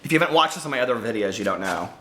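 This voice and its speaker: A man in his forties chatting casually and excitably to viewers as a book vlogger, gushing and a little campy. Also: mid-range voice, very fast, a little hiss or hum. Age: 40s. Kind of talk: casual chat to viewers, book tag video.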